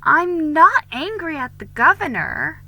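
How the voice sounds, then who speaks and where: Woman putting on an eager, high-voiced young girl. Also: lively intonation, a little slow, creaky, sharp tone, exaggerated voice. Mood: indignant. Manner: earnest, emphatic, pleading. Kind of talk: dramatic storybook reading.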